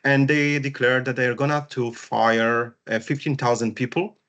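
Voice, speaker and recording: A man, chatting casually on a video call as co-host, pausing often with fillers. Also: mid-range voice, fairly even intonation, a bit bright, muffled recording. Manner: hesitant, many fillers. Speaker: co-host, business and wellbeing strategist.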